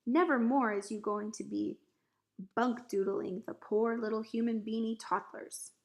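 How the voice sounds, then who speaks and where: A woman voicing a big, excitable but kindly male giant in a storybook, dramatic and exclamatory, with quaint mangled grammar. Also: on the high side, measured pace, gravelly. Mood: gloating indignation.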